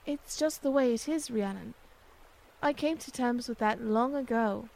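Woman playing a steady, higher-voiced village smith. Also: measured pace, creaky. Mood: resigned. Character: steady, loyal young village blacksmith woman. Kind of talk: audio drama acting.

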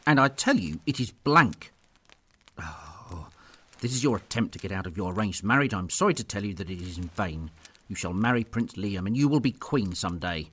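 A man playing a stern, dismissive middle-aged duke and father with a husky, gruff voice in an audio drama. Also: lowish voice, expressive, normal speed, bright, forceful tone, full character voice. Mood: stern, sceptical.

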